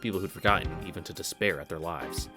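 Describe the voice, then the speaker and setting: Deep-voiced guy reading a visual novel, chatty asides, bit of music behind. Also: fast. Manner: reads narration, breaks off into casual asides. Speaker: let's-play host.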